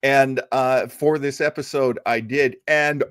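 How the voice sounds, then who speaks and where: A man's voice: medium pitch, fairly even intonation, a little slow, a bit bright, clean tone, dry recording, clean studio sound. A man hosting an old-time radio show, chatting casually and enthusiastically with emphatic, rambling asides.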